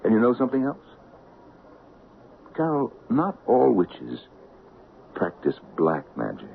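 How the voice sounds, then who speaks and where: An actor playing a soothing, patronizing doctor who talks gently and coaxingly, in an old radio drama. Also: male voice, medium pitch, wildly swooping pitch, measured pace, gravelly, slight background noise, full character voice. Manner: gentle, coaxing, lilting storyteller delivery. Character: soothing, patronizing middle-aged male doctor. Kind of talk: 1970s radio drama acting. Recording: tinny old radio broadcast.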